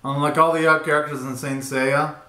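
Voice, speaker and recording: A man in his twenties giving a casual, excitable live reaction commentary full of slangy exclamations, recorded in a small bedroom. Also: on the high side, fairly quick. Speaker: reaction video host. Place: small bedroom at home, indoors. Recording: roomy, echoey home recording.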